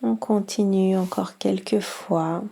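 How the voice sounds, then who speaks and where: A woman guiding a yoga relaxation session as instructor, speaking in a soft, breathy voice with calm, soothing instructions. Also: mid-range voice. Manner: slow, calm, soothing instructions with long pauses. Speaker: yoga nidra instructor and guide. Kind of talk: guided relaxation meditation.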